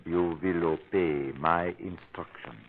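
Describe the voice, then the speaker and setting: A man acting a cold, domineering villainous hypnotist with a commanding, deliberate delivery in 1930s radio style. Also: low voice, full character voice. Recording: muffled old 1930s radio recording.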